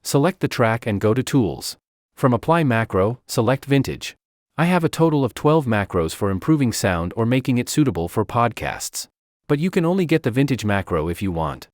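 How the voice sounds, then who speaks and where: A man narrates a scripted how-to for software. His pitch moves in a lively way from phrase to phrase. The recording is close and dry, with very little room sound. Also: fairly quick, no echo. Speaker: tutorial narrator.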